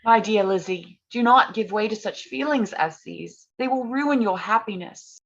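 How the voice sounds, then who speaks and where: A woman playing a gentle, forbearing young gentlewoman in a staged reading of a period drama, earnest and polite, on slightly dull audio. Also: mid-range voice, moderate pace, natural voice. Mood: gently chiding.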